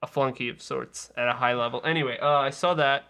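A man with a smooth, bright voice talks casually as a podcast co-host. He sounds crisp and forward, dropping wry asides as he reviews what he has seen. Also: mid-range voice, fairly even intonation, fast. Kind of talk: casual movie chat.

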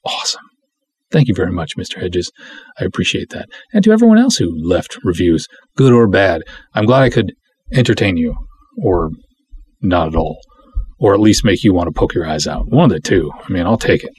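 A man with a husky, somewhat low voice hosts a podcast on his own. He chats casually and rambles a little, self-deprecating, slipping between reading aloud and offhand commentary. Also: sing-song, a little fast, a little mellow. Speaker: podcast host.

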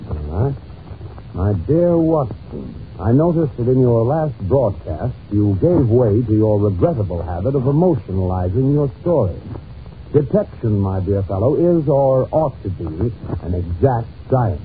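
Muffled vintage radio, measured gentleman reading aloud, deliberate, clear background noise. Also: mid-range voice, very animated. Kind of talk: old-time radio drama acting. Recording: muffled, noisy old-time radio recording.

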